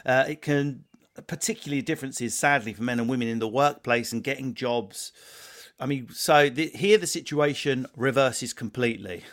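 A man hosts a casual talk with a wry, self-mocking manner. He puts questions to his guests in an average-pitched voice. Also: normal speed, fairly bright tone. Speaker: podcast host. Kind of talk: casual chat.

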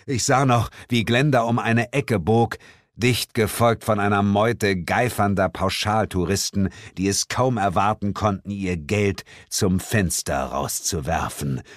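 Low, dry-voiced male audiobook narrator. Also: dry recording. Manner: measured, theatrical, drops low for dramatic lines. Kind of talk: first-person audiobook narration.

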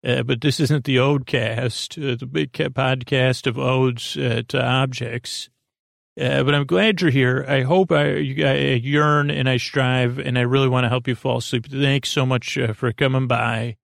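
A man hosting a sleep podcast, talking in a meandering, halting, whimsical way with a creaky voice. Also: mid-range voice, steady tone, no room sound, studio quality. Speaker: podcast host. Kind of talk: rambling bedtime sleep podcast monologue.

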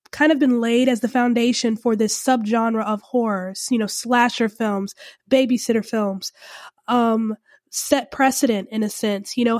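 A woman film podcaster with a stuffy, congested voice from a cold, pitched a bit high. She rambles casually through her thoughts with plenty of "you know" and "um", in a dry, close-sounding room. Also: no echo. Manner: chatty and rambling, many fillers. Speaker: podcast host, film reviewer.

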